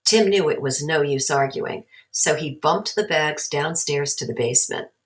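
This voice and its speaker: A woman reads a children's storybook aloud. Her voice is low in pitch, and she narrates the tale as a read-along. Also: normal speed.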